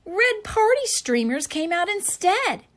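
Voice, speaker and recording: A woman's voice: very high voice, sing-song, slow, fairly bright tone, voice pushed up, exaggerated voice. A woman voicing a kindly, eager young knight boy in a storybook, encouraging and persistent. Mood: puzzled, kindly.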